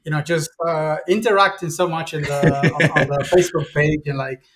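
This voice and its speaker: A man's voice: fairly high pitch, steady tone, normal speed, a crowd in the background. A man in his thirties from Cuba, a podcast guest chatting casually and hesitantly, often repeating words. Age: 30s.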